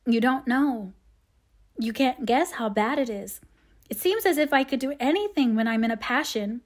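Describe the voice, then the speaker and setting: A woman's voice: on the high side, animated, normal speed. A woman voicing a passionate, remorseful fifteen-year-old girl in a storybook, earnest and pleading. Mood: anguished pleading.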